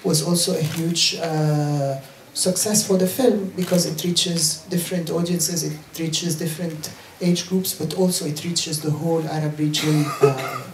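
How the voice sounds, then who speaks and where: A man's voice: on the high side, steady tone, measured pace, few pauses, a little hiss or hum. A man moderating a film Q&A, explaining fluently with a few fillers. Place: cinema auditorium. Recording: roomy hall echo.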